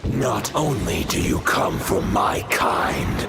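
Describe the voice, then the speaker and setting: An actor playing a proud, wrathful ancient wolf spirit in a fantasy game, speaking in a grand, archaic, commanding way. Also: male voice, on the low side, very animated, takes it slowly, creaky, very noisy, exaggerated voice. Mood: stern, offended. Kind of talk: fantasy video game acting. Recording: loud music behind the voice.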